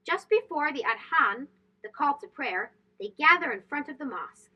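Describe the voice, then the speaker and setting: A woman reading a picture book aloud to young children in an expressive, sing-song, emphatic way. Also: sits high, moderate pace, lo-fi sound. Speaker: children's read-aloud host. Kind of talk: animated storybook read-aloud for young children.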